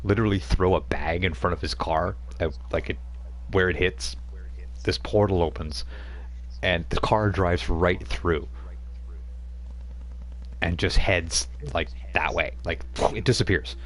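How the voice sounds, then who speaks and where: Deep-voiced male game master. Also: fairly even intonation, unhurried, low-quality recording. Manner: rambling, filler-heavy narration. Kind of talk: narrating a tabletop game.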